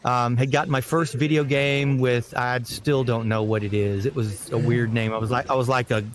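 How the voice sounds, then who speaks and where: A man's voice: medium pitch, steady tone, moderate pace. A man recalling his voice acting career in a casual, rambling interview style.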